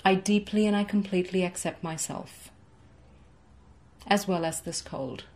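A young woman coaching a guided tapping session from her home office, speaking in slow, soothing, rhythmic repeated phrases for the listener to echo. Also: fairly low pitch, a little mellow. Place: home office with framed certificates, indoors.

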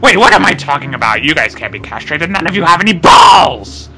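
A man's voice: fairly high pitch, very animated, fairly quick, sharp tone, raised voice, soft background music, heavily acted, low-quality recording. A man voicing a cranky, foul-mouthed cartoon ape in an amateur comedy dub, ranting in an exasperated, strained way. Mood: scolding. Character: cranky, foul-mouthed old male ape and bumbling henchman.

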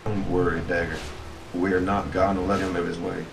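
A determined young hero, played by a man in a game fandub, with a notably low voice. He is blunt, casual and protective. The recording is a little distant, with music behind him. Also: little pitch movement, moderate pace, gravelly. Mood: resolute. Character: determined young male adventurer, protective of friends. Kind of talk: video game fandub acting.

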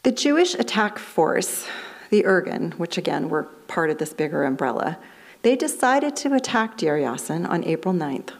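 Woman minister, 50s, American accent, lower register, measured sermon delivery at a lectern mic. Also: very animated, gravelly. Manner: measured, explanatory delivery reading from notes. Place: fellowship hall, at a lectern. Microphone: lectern microphone.

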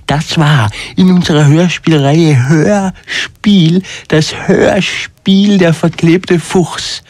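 A man narrating a radio play in a deliberate, mock-solemn way, with curt directions in between. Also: fairly high pitch, fairly even intonation, no room sound.

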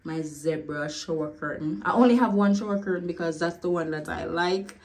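A woman, chatting casually to camera at home in a relaxed, laughing way, filmed on a phone. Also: lowish voice. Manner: relaxed, laughing, slow and easygoing. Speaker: vlogger. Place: bedroom and bathroom of a home. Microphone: phone camera held close.

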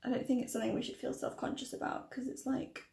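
A woman in her thirties with a croaky, tiring voice, chatting and correcting herself as she presents a casual tutorial to camera. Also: on the high side, little pitch movement, fast talker, darkish tone, roomy sound. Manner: chatty, rambling, self-correcting. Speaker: makeup tutorial vlogger. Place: small room indoors.

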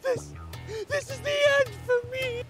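A man playing an excitable, timid young militia grunt in a video game, stammering and melodramatic. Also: extremely high pitch, takes it slowly, music in the background. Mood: panicked wailing. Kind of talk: video game cutscene acting.

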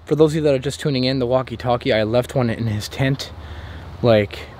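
A man vlogging as he explores outdoors, talking in a jittery, repetitive way with frequent swearing, often dropping into a hush. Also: mid-range voice, a little fast. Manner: jittery, breathless, heavy swearing, repeats phrases. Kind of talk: whispered and hushed first-person exploration vlog. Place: outdoors at an abandoned campsite. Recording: noisy outdoor handheld ambience.

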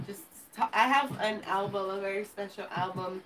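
A woman's voice: medium pitch, moderate pace, recorded from a distance, noisy background. A woman of about thirty with an American accent, hosting a casual livestream chat for viewers. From Los Angeles, United States. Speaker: video channel host. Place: home kitchen, indoors. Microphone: camera a few feet away. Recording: roomy pickup away from the mic, music behind.

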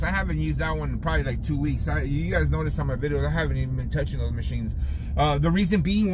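A middle-aged barber vlogger talking casually from his car, rambling and restarting his sentences often. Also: on the high side. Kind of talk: casual vlog talk. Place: parked car. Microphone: phone camera on the dashboard. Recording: noisy car interior.

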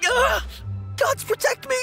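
A man's voice: extremely high pitch, takes it slowly, gravelly, exaggerated voice. An actor playing a timid young male apprentice undertaker in a video game, with a polite, formal and earnest manner. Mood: terrified. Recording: faint game music behind.

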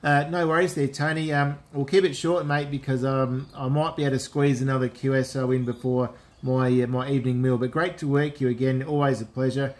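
A man's voice: medium pitch, steady tone, fairly quick. A man with an Australian accent making a casual, matey amateur radio contact, using radio call phrases. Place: indoors at a radio set.

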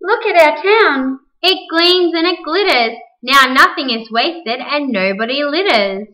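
A woman's voice: high voice, lively intonation, a little slow, pushed and bright, heavily acted. A woman playing a hopeful, proud townsperson in an amateur rhyming puppet show, heard at a distance from the mic. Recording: distant mic, homemade video.

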